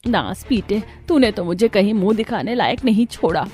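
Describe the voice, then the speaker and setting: A woman's voice: medium pitch, animated, moderate pace, a little mellow, lightly acted. Woman playing middle-aged mother-in-law, scolding, sarcastic, indignant, cartoon drama, music behind. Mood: outraged.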